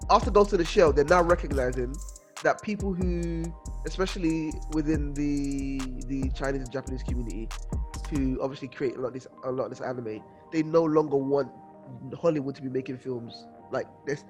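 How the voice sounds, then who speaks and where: A man's voice: mid-range voice, fairly quick, faint music behind. A British man co-hosting a podcast, giving emphatic, opinionated takes in casual group chat. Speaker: podcast co-host. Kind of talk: casual group debate about films.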